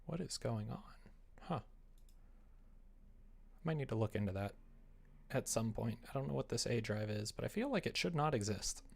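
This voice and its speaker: Guy streaming game dev stuff, gravelly creaky voice, rambles and mumbles to himself, kinda self-deprecating. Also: medium pitch, animated, normal speed, pauses often. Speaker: live streamer, game developer. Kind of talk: casual livestream chat while working on screen.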